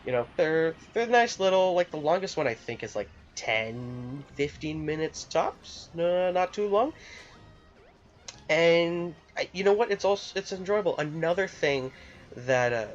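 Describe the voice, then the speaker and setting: A man's voice: on the high side, expressive, a little slow. A man giving casual, unscripted video game opinions in a rambling, enthusiastic way with sarcastic asides. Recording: muffled lo-fi mic with background music. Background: music.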